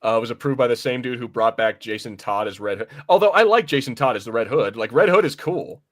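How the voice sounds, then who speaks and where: A man's voice: mid-range voice, lively intonation, fast. Casual, rambling male podcast co-host. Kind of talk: casual film and comics discussion.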